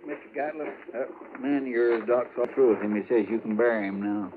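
An actor playing a folksy, soft-hearted marshal's helper with a rural American accent in a 1950s radio western. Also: male voice, medium pitch, a little fast, phone-quality sound, lightly acted. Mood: concerned. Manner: folksy, drawn to exclaiming. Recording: thin old 1950s radio recording, background noise.

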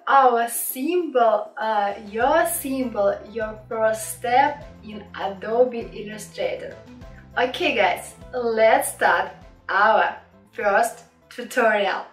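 A young woman with a Russian accent, teaching beginners graphic design software in friendly, simple English with small slips, from a small home office. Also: fairly high pitch, takes it slowly, echoey room, recorded from a distance, faint music behind.

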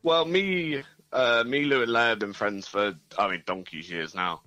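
A man being interviewed about his band, relaxed and hesitant, with many fillers. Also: lowish voice, moderate pace, dry recording, muffled recording. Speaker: band member, interview guest. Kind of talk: casual interview. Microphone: phone line.

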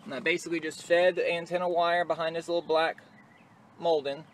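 A man with a slightly higher-than-average voice talks through a hands-on tutorial in a casual, unscripted way. He is plain-spoken and sounds occupied with the job as he explains it. The handheld recording from a truck cab carries faint vehicle noise. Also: flat delivery, a little fast. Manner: plain-spoken, hands busy while talking. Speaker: amateur video maker giving a how-to. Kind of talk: casual improvised tutorial talk. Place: in and around a pickup truck cab. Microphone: handheld phone or camera close to the work.

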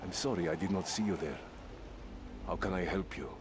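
A man plays a very low-voiced desert trader from a video game. He is formal, deferential and strangely stilted, as if entranced. There is clear noise in the background. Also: brisk, natural voice. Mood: polite, stilted.

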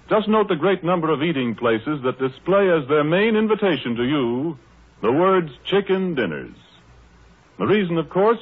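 Male radio announcer, American accent, animated intonation, courteous polished pitchman, 1930s broadcast, phone-like narrow sound. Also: slightly rough. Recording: thin, band-limited 1930s radio broadcast.

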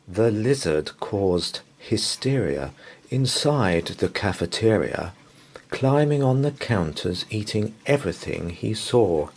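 A man's voice: on the low side, animated, darkish tone, husky. A man reading a children's bedtime story aloud in a playful, theatrical way. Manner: playful, theatrical, drawn-out delivery. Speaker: storybook reader.

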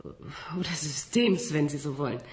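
A composed, didactic woman scientist. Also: low-pitched. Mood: patient, superior. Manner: didactic, measured, with small rhetorical tags. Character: composed woman scientist, explaining patiently, slightly condescending. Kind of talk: radio play acting.

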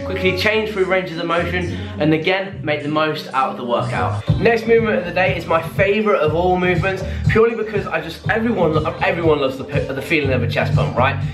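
Young man, British accent, high voice, enthusiastic informal workout vlogging, echoey garage gym with music. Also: lively intonation, fairly quick, few pauses. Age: early 20s. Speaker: fitness vlogger. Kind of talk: casual workout vlog explanation. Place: small brick garage home gym, indoors. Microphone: camera at arm's length or propped nearby. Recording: echoey small room, music behind.

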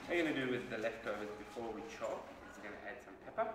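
A man's voice: lowish voice, expressive, very fast, noisy background. A man in his thirties hosting a casual, unrehearsed cooking show, explaining each step as he cuts and preps food in a small kitchen. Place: small indoor kitchen room. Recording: roomy, slightly distant, music behind. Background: loud background music.

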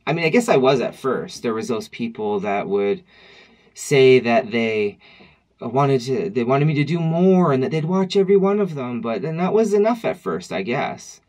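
A man's voice: medium pitch, big pitch swings, moderate pace, a little mellow. A man in his thirties talking to camera at home in a personal vlog, reflective and wry, with loose conversational restarts. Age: 30s. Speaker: vlogger. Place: dim room at home, indoors.

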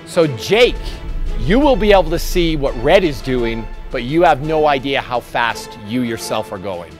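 A man's voice: medium pitch, sing-song, measured pace, soft background music. A middle-aged American man, a gym instructor, addressing a group in an emphatic, informal way. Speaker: gym instructor leading a challenge. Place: large gym hall with onlookers.

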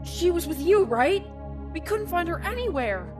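A woman's voice: extremely high pitch, sing-song, moderate pace, music in the background. A woman playing an anxious young creature in a cartoon comic dub. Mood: worried. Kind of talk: animated comic dub acting.

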